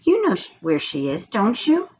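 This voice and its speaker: Casting: woman reader as a stern, bossy lady teacher from a children's book, emphatic swings in pitch. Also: huge pitch swings, moderate pace, darkish tone, slightly rough. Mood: brisk. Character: brisk, bossy female schoolteacher. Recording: dull lo-fi recording with background noise.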